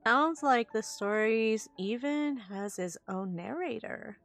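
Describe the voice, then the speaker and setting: Slow-talking woman streaming a game. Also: fairly high pitch, a bit bright, soft background music. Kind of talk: casual playthrough commentary.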